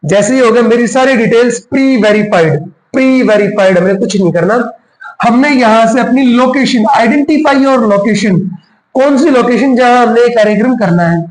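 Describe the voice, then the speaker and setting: High-pitched man, roomy sound. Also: normal speed. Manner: explanatory, switches into English terms. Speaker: tutorial host. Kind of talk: casual step-by-step screen tutorial. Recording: roomy, echoing voice recording.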